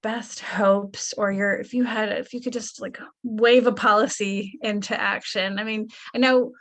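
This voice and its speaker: A woman's voice: mid-range voice, fairly even intonation, a little slow, fairly bright tone. A woman hosting a podcast who asks long, thoughtful, rambling questions. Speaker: podcast host. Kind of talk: interview questions.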